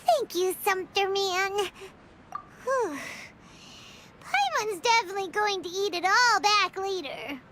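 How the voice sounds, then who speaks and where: A woman voicing a tiny, excitable, childlike floating companion in a video game, squeaky and speaking of herself in the third person. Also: slow pace, slight background noise, exaggerated voice. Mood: relieved, cheeky. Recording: loud music behind the voice. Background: music.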